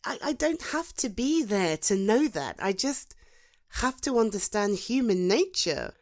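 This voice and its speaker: A British woman playing a protective, supportive female friend in a conversational ASMR roleplay, soothing and encouraging, with thoughtful pauses. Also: a little high, lively intonation. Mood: earnest, encouraging. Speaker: voice actor in an audio roleplay. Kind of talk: ASMR roleplay, conversational one-sided dialogue.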